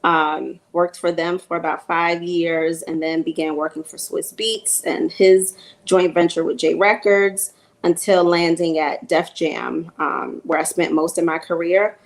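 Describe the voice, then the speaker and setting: A woman's voice: fairly low pitch, flat delivery, measured pace. A woman from New York, a music marketing executive, telling her career story steadily with frequent ums. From Queens, New York.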